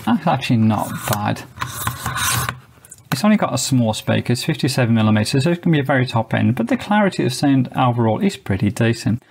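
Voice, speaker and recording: A man's voice: medium pitch, expressive, a little fast, creaky, darkish tone, a little hiss or hum. A man reviewing a small shortwave radio, explaining each feature and step methodically as host of his own channel. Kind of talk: product review walkthrough. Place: indoors.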